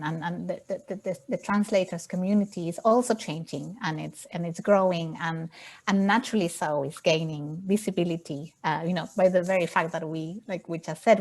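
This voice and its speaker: A woman from Argentina, a publisher, speaking in an online panel discussion with many restarts and fillers. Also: lowish voice.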